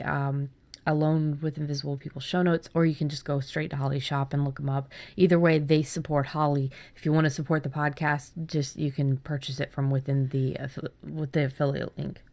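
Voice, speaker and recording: A woman podcast host chatting casually about writing, telling things in an animated way with chuckles. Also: low-pitched, fairly quick, dry recording. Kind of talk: casual chat about story ideas.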